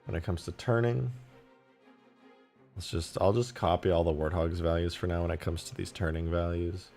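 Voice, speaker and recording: A man live-streaming while he mods a game, thinking aloud, reading chat and laughing loudly at times, his voice going a bit hoarse. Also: deep voice, steady tone, moderate pace, faint music behind. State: losing his voice, slightly hoarse. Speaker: modding streamer. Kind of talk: casual live-stream commentary.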